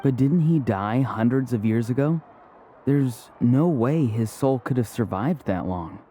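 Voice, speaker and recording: Man voicing young male game warrior, very mellow, serious, measured delivery, faint background noise. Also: mid-range voice, lively intonation, close to an everyday voice. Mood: serious, puzzled. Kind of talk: English video game character acting.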